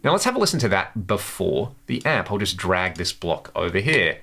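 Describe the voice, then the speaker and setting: A man's voice: low-pitched, big pitch swings, normal speed, gravelly. A man hosting a guitar gear tutorial, explaining things step by step in a casual, conversational way. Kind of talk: instructional demo, casual explanation.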